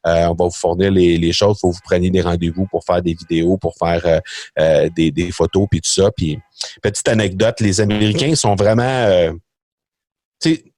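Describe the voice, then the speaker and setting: A man's voice: low-pitched, fairly even intonation, normal speed, darkish tone, dry recording. A middle-aged man with a Québécois French accent, chatting as a podcast guest, hesitant with many fillers and restarts. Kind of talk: casual interview chat.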